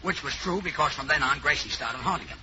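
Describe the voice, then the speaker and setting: A man's voice: on the high side, fast talker. A man as a brash radio announcer in a 1940s radio comedy. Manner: brash, salesy. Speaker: radio announcer and comic actor. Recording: dull old radio recording with clear hiss.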